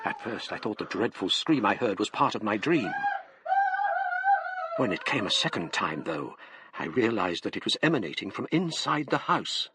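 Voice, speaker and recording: An actor playing a grave gentleman narrator with an English accent, telling a gothic tale in literary, dramatic style for radio. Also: fairly low pitch, sing-song, normal speed, very noisy. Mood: haunted.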